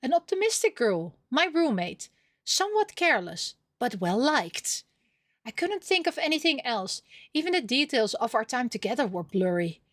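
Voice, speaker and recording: A woman reading a story game aloud expressively, dropping in casual asides, with an animated delivery. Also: on the high side, moderate pace, no echo, studio quality. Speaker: streamer reading a visual novel aloud.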